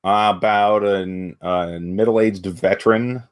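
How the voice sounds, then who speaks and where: A man's voice: on the low side, fairly even intonation, slow pace. A man co-hosting a podcast in casual, conversational talk. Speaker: podcast co-host. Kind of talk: casual chat.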